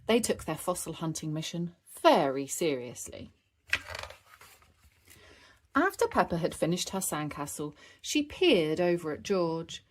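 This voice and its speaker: Animated woman reading children's stories. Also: fairly low pitch, measured pace, slight background noise. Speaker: storybook reader. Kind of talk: expressive children's story narration.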